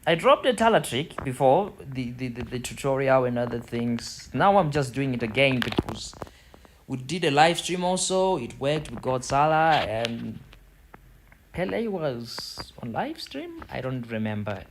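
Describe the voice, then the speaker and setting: A man's voice: mid-range voice, big pitch swings, a little slow, noisy background. Zimbabwean man talking through a game tutorial. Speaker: gaming video host. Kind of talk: casual tutorial commentary over football game screen.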